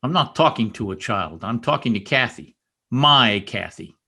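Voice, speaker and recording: A man's voice: mid-range voice, animated, normal speed, gravelly, bright, forceful tone, breathy edge, full character voice. A man playing a bitter, lovesick young stable hand, passionate and brooding, in old-time radio drama style. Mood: jealous, demanding. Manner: intense, scornful and pleading. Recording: amateur radio play recorded over video call.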